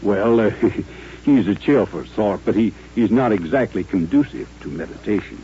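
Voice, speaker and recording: An actor playing a solemn, courteous Southern gentleman colonel with a formal, reflective manner in an old radio western. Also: on the low side, lively intonation, normal speed, slight background noise. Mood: polite, earnest. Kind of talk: 1950s radio western acting. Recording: dull, humming old-time radio recording.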